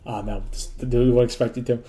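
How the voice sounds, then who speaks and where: A hoarse man casually walking through a demo of software he built, rambling loosely with lots of fillers, restarts and blunt joking asides. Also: mid-range voice, fairly even intonation, brisk. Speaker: developer demoing his own software. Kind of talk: casual rambling screen walkthrough.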